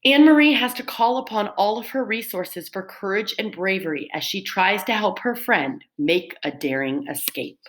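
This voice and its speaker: A woman's voice: mid-range voice, expressive, moderate pace, few pauses, rough recording. A woman speaking as a school teacher, reading summaries aloud and explaining them to pupils with stressed key words.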